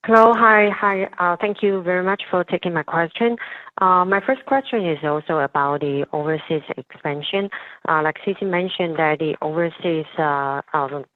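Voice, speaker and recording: Female analyst, deep for a woman, dry phone-line audio, polite halting questions on an earnings call. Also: dry recording. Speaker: financial analyst. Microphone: telephone.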